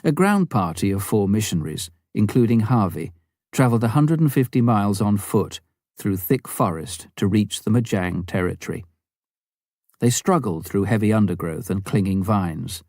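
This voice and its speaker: A man's voice: fairly low pitch, a little mellow, no echo, clean studio sound. A man reading a memoir aloud as scripted audiobook narration.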